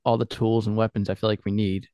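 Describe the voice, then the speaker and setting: A man's voice: fairly low pitch, level tone, fast, warm tone, no echo, studio quality. A man co-hosting a football podcast, chatting casually in a hesitant, loosely phrased way. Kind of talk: casual sports chat.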